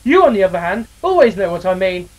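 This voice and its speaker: A man's voice: high-pitched, very animated, fast talker. A man voicing a cheerful, chatty male steam engine who spins folksy yarns and calls others mate. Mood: pleading.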